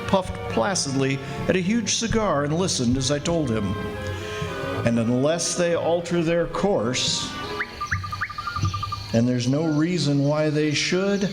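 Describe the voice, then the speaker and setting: A man's voice: on the high side, expressive, slow. A man playing an urgent, alarmed colonial district commissioner in 1940s-style radio drama, with grave, emphatic delivery. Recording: live stage show with music.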